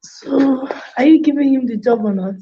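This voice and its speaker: A woman's voice: high-pitched, normal speed, slight background noise, lightly acted. A woman with a Nigerian accent playing an exasperated, grumbling young woman in a radio drama. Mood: questioning. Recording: dull audio with music. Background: music.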